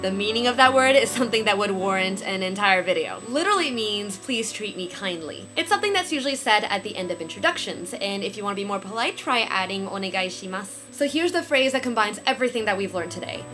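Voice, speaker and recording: A young woman giving a beginner language lesson from her bedroom, careful and clear as she demonstrates sounds on a webcam. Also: mid-range voice, lively intonation, fast talker, hardly any pauses, pushed and bright. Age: young adult. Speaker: language teacher. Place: small bedroom, indoors. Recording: music under the voice.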